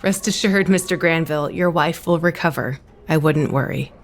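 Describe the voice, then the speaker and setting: An actress playing a calm, reassuring hospital doctor in an audio soap opera, with a professional manner. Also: female voice, sits low, moderate pace. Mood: calm reassurance.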